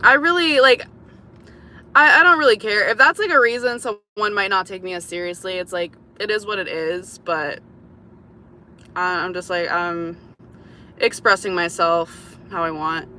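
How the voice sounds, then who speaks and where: Bright, chatty young woman. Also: mid-range voice, moderate pace, a little hiss or hum. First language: English, also speaks Lithuanian. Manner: chatty, rambling, lots of "like". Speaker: YouTuber. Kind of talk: casual livestream chat.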